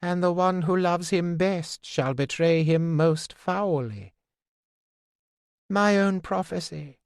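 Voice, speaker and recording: A shamed male jester-prophet speaks in a high, thin voice, as a man acts him out in an audiobook. The voice quivers with grief, and the delivery is slow and close to the mic. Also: clear, resonant tone, no echo. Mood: anguished.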